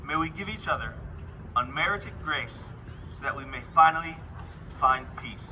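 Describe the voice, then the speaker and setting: A man giving an earnest, measured prepared speech at a rally outdoors. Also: fairly high pitch, lively intonation, pushed and bright, far from the mic, a little hiss or hum, poor audio. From Worthington. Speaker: speaker at a justice rally.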